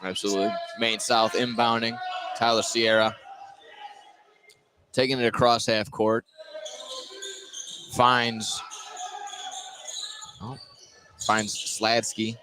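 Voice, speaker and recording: A man's voice: fairly low pitch, level tone, takes it slowly, pauses often. A man doing live radio play-by-play of a basketball game in clipped phrases. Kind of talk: live sports radio commentary. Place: indoor basketball gymnasium. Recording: noisy gym ambience, bouncing basketballs. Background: gym noise and basketball bounces.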